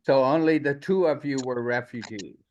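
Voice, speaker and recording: A man's voice: medium pitch, normal speed, a little mellow, slight background noise. A man informally putting questions to a club meeting over a dull online connection. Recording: dull lo-fi call audio.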